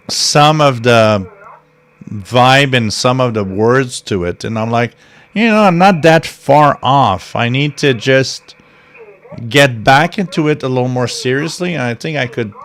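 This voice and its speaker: A man chats on a live radio stream at an unhurried pace, his voice clean and smooth. He wanders through anecdotes with plenty of ums and false starts and laughs at his own stories. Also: medium pitch, a bit bright. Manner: chatty, rambling storyteller with many fillers and restarts. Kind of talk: casual rambling chat.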